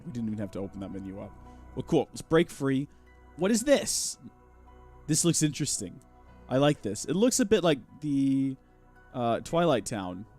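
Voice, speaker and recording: A man's voice: mid-range voice, darkish tone. A young man hosting a let's play with a congested, fading voice, joking playfully as he rambles through casual commentary. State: congested, losing his voice.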